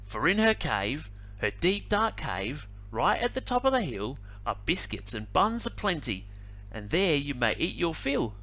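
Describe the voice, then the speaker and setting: A man doing a high, plucky young duck. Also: very animated, unhurried, bright, forceful tone, full character voice, low-quality recording. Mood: persuasive. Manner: high, sing-song and sweetly persuasive.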